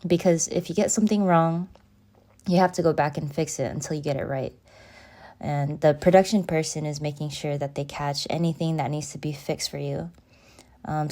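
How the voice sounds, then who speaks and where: A woman in her thirties from Seattle narrates her design process over a screen recording. Her voice sits low and warm, mellow rather than bright. She keeps it friendly and conversational, full of fillers like "um" and "you know". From Seattle, USA. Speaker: graphic designer and vlogger. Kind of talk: casual narrated screen walkthrough.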